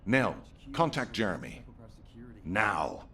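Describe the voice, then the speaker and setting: An actor playing a stern, impatient military commander in a video game, authoritative, with a gravelly voice. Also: male voice, lowish voice, big pitch swings, takes it slowly, pushed and bright, exaggerated voice. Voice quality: gravelly, husky. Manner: authoritative, clipped.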